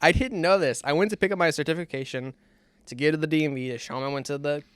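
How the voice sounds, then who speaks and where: Clear-voiced young Californian man chatting. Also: fairly high pitch, fast talker, dry recording. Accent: Californian American. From California, United States. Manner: opinionated, emphatic, rambling. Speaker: podcast host. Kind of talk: casual chat, anecdotes.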